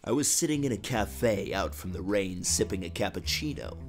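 Theatrical man narrating, highly animated. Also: moderate pace. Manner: theatrical, self-serious. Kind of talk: dramatic first-person scripted comic narration. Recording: music under the narration.

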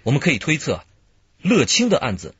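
An actor playing a cautious, analytical detective in a radio crime drama, reasoning carefully and deliberately. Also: male voice, mid-range voice, wildly swooping pitch, fairly bright tone, husky, no echo, lo-fi sound, full character voice.

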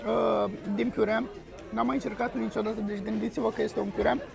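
A man's voice: high voice, steady tone, creaky. A young man, enthusiastically reviewing food to a camera on the table at an outdoor restaurant terrace. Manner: enthusiastic, exclaims while tasting. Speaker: food vlogger. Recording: crowd chatter on a terrace. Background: diners chattering.

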